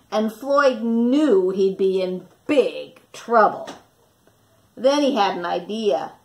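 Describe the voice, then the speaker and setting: A woman reading a children's picture book aloud expressively, with dramatic pauses and questions for young listeners, like a teacher. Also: medium pitch.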